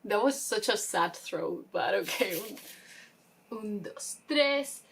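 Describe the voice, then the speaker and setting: A woman talking casually to camera as a book vlogger, chatty and excitable, often interrupting herself with asides. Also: medium pitch, slow, vocal fry, recorded from a distance, a little hiss or hum. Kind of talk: casual chatty reading-plan talk to camera.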